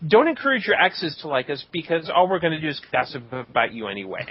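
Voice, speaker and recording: A man hosting a podcast in a casual, tongue-in-cheek way as he reads out listener shout-outs. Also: on the high side, wildly swooping pitch, fast, husky. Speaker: podcast host. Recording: dull lo-fi voice recording.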